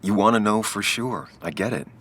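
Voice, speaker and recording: A man's voice: fairly low pitch, lively intonation, moderate pace, fairly bright tone, a little hiss or hum. A man playing a guarded, wry ex-journalist in a video game, speaking in a subdued, dry way. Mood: reluctant, resolved. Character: brooding ex-journalist man back in his hometown, guarded, wry. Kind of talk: naturalistic video game acting.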